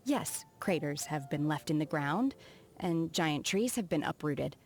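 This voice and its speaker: Aloof, low-toned young warrior woman. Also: expressive, darkish tone. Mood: calm, reassuring. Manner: measured, reserved, formal. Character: aloof, soft-spoken young female adept's apprentice, socially reserved. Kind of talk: scripted video game character voice lines. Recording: music behind the voice.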